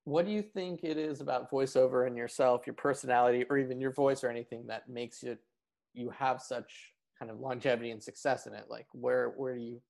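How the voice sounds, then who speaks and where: Gravelly-voiced interviewer, mid pitch. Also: fairly even intonation, brisk. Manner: warmly praising, many fillers. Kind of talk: casual interview chat.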